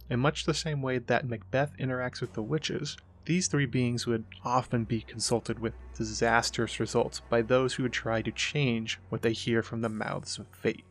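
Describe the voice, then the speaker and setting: A man with a medium-pitched voice narrates as the host of a literary explainer. His delivery is scripted and expository, laying out ideas point by point. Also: steady tone, moderate pace, hardly any pauses. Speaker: host of a literary video essay.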